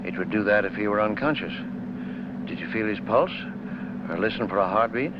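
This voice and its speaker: Male actor as shrewd lawyer, middle-aged, lowish voice, calm, measured, persuasive, film noir, muffled soundtrack under loud engine noise. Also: natural voice. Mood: reasoning. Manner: measured, reasoning, authoritative. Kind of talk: 1950s film noir acting.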